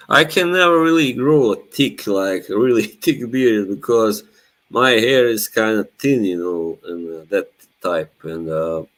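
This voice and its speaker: A young man from Bosnia, whose first language is Bosnian, chats casually on a live stream in a low voice. He talks at a very slow pace and is laid-back, with frequent laughs. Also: fairly bright tone. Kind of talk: casual chat.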